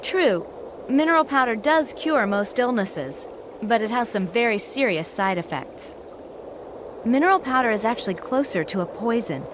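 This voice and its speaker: A woman's voice: fairly high pitch, expressive, moderate pace, noisy background, lightly acted. A young woman acting a spirited, straight-talking goatherd in a video game cutscene. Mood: grave, warning.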